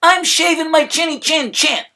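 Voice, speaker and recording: A man's voice: very high-pitched, moderate pace, creaky, pushed and bright, full character voice. A man voicing a rude, curt little male pig who dismisses visitors in a storybook read-aloud. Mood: rude, annoyed.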